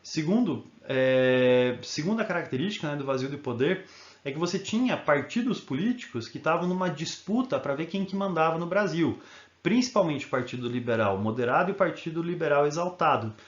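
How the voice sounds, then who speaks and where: A man's voice: a little high, expressive, brisk, echoey room, highs cut off. A male history teacher giving a recorded video lesson, explaining in an informal way and often checking in with tag questions.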